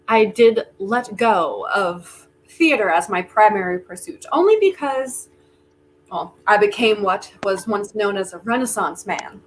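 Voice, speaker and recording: Casting: woman as genial, boastful older magnate, stagey and persuasive, unhurried delivery, comic play reading, faint noise on the line. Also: medium pitch, fairly bright tone. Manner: theatrical, coaxing, wry. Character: genial, self-important aging theater-loving tycoon bargaining with Death. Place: remote virtual reading. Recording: noisy online video call audio.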